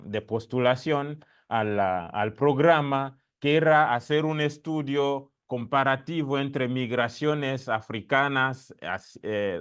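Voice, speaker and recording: Congolese man, smooth voice, halting Spanish. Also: medium pitch, fairly bright tone. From Democratic Republic of the Congo. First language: not Spanish; spoke Italian for years before. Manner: hesitant, many fillers and restarts. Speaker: doctoral student panelist. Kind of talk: academic panel talk. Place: lecture room at a university event.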